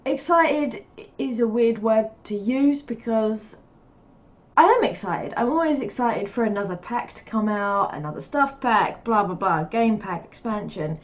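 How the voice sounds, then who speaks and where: A woman talks over her gameplay, her voice a touch higher than most and blocked up from a cold. She rambles with plenty of ums and little laughs, sounding relaxed and chatty. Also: a little slow. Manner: rambling and chatty, lots of fillers, laughs often. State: stuffed up with a cold, sniffling. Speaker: gaming YouTuber. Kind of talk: casual voice-over commentary on a building game.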